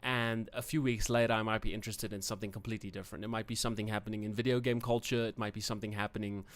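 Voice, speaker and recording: A man's voice: lowish voice, little pitch movement, racing. A young adult man with a South African accent and Afrikaans as his first language, hosting a casual livestream and rambling between topics with fillers and self-corrections. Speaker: channel host.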